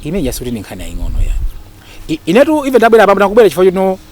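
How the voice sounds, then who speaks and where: A man's voice: high-pitched, huge pitch swings, very fast, a little mellow. A Malawian man speaking emphatically and argumentatively as an interview guest, repeating phrases for stress and mixing two languages. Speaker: interview guest, actor and lecturer. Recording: faint background noise.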